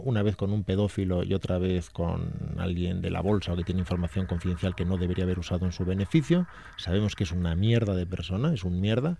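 Guy from on radio talking movies, lowish warm voice, calm and detailed. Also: gravelly, no room sound. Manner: detailed, explanatory, measured. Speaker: film commentator. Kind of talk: radio chat.

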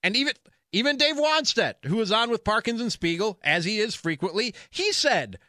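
A man's voice: high-pitched, sing-song, normal speed, pushed and bright, no room sound, clean studio sound. A man hosting sports talk radio, delivering an emphatic, opinionated monologue and repeating phrases for stress.

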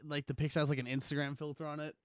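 A man's voice: fairly high pitch, level tone, racing, clear, resonant tone, no echo, clean studio sound. A young man co-hosting a podcast, chatting casually in a joking, slangy, rambling way. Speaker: podcast co-host. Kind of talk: casual chat.

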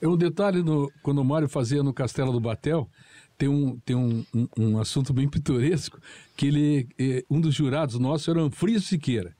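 A man telling an anecdote in a studio chat, halting and repeating words as he goes. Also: medium pitch, mellow, no room sound. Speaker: studio guest.

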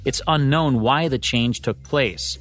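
A man's voice: mid-range voice, slow pace, a bit bright, soft background music. An American man co-hosting a radio show, reading scripted commentary on classic broadcasts.

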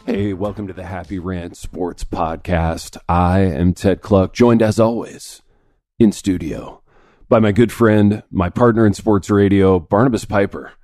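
A man hosting a sports podcast in a studio, his voice raspy this morning, chatting in a laid-back, chummy way. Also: low-pitched, measured pace, a little mellow, dry recording, soft background music. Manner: laid-back, chummy, says dude a lot. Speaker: podcast host. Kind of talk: casual sports chat.

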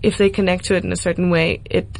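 A woman's voice: lowish voice, level tone, fast, a little hiss or hum. A woman in her twenties from Cape Town with a South African accent, speaking earnestly and reflectively as a musician guest in a casual radio interview. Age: 20s. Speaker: singer-songwriter guest.

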